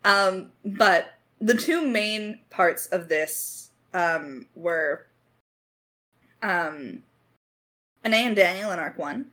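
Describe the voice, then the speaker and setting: A woman with a slightly low voice talks through her writing in a casual Q&A. She thinks aloud, hesitating and gently putting herself down as she goes. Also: drawn-out words. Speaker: lead writer of an audio drama podcast. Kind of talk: casual creator Q&A discussion.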